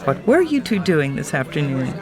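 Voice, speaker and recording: A woman's voice: low voice, sing-song, moderate pace, a crowd in the background. An actress playing a polite, coaxing married society lady of the 1960s in a radio drama, with music behind her.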